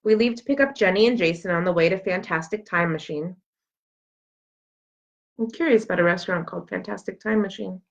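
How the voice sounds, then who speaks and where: A woman's voice: lowish voice, fairly quick, gravelly, long pauses. Woman reading a children's story, dull lo-fi recording. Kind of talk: expressive read-aloud narration of a children's story. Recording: dull, lo-fi sound.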